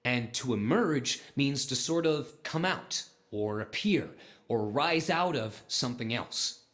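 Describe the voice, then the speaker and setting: An actor playing an earnest man who muses and explains deliberately in visual novel game dialogue. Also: medium pitch, lively intonation, fairly bright tone. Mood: thoughtful, emphatic.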